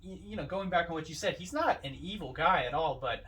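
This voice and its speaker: Male podcaster, lightly raised pitch, conversational film talk, a bit distant in the recording. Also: expressive, brisk, a bit bright. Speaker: podcast co-host. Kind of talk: casual film discussion. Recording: slightly distant from the mic.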